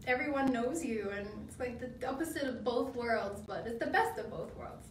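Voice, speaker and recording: A young woman in her twenties from the Philippines and Australia, chatting upbeat and relaxed as a co-host of a live stream. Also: on the high side, moderate pace, a little hiss or hum. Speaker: pageant titleholder co-hosting a live stream. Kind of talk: casual chat. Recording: echoey room, mic at a distance.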